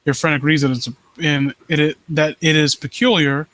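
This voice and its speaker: A man hosting a live stream, reading and commenting on stories in a halting, rambling way and often rephrasing himself. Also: a little high, fairly even intonation, crowd noise. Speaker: live stream host reading and critiquing amateur horror stories. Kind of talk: story read-aloud and casual commentary.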